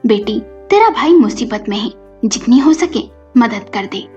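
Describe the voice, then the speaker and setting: A woman storyteller voicing a defensive, quick-tempered older mother-in-law in a family story. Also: fairly high pitch, expressive, darkish tone. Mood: concerned. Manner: indignant, scolding retorts. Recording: muffled recording with music behind.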